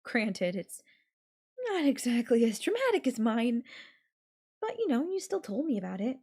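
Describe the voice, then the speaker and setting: A woman playing an obsessive, possessive girlfriend in an intimate audio roleplay, sweet and coaxing with a menacing edge and lingering pauses. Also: a little high, very animated, darkish tone, slightly rough, heavily acted. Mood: confiding, nostalgic.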